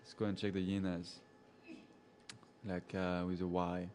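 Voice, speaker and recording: Low-voiced man with a French accent. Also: measured pace, slight background noise. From French-speaking. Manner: relaxed and chatty, laughs often, answers viewers by name. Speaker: safari guide presenting a live chat. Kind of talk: casual live chat reading viewer comments.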